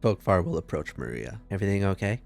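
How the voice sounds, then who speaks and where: A man's voice: low-pitched, very animated, fast, vocal fry, darkish tone. A man playing his character in a tabletop game, talking hesitantly and slipping in and out of character. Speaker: player. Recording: faint background music.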